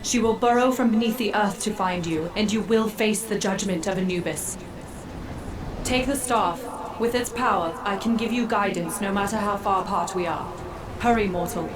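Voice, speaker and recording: An actress playing a grave, regal Egyptian goddess in a video game, speaking formally and with measured solemnity. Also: female voice, mid-range voice, a crowd in the background, natural voice. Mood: solemn warning. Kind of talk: video game character acting.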